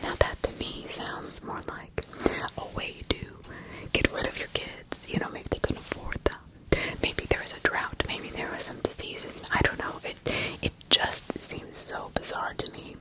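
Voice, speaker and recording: A woman whispering ASMR true-crime commentary in a breathy, unvoiced, conversational way with emphatic repetition. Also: measured pace, poor audio. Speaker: ASMR host.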